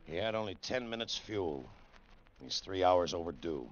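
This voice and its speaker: Low-voiced, stubborn colonel. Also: male voice, expressive, normal speed, soft background music. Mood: stubborn, grave. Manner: terse, clipped, authoritative. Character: stern, stubborn male Army colonel in command. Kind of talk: old-time radio drama acting. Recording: dull vintage radio recording.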